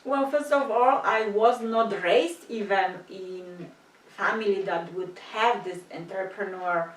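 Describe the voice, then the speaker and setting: A woman from Poland with a Polish accent, chatting casually in an agreeable, somewhat halting way. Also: mid-range voice. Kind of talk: casual conversational chat. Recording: roomy echo, distant from mic.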